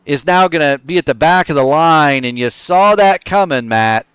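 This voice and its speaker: A man calling a virtual stock car race as play-by-play commentator, quick and excitable on the action, his voice getting tired. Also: fairly high pitch, clear, resonant tone, no echo, dull audio. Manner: rapid excited play-by-play, self-correcting. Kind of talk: sim racing commentary.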